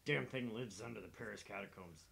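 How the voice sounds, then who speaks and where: A man playing a laddish monster hunter, a gravelly voice and a roguish, theatrical storytelling manner. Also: fairly low pitch, a little fast, fairly bright tone, slight background noise. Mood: theatrical, amused. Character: cheeky, laddish British monster hunter tour guide.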